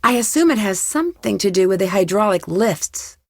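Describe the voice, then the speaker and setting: A woman's voice: mid-range voice, lively intonation, fairly quick, no echo, studio quality. A woman playing a curious, composed young female detective in an adventure game, asking direct and unflinching questions. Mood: probing, composed.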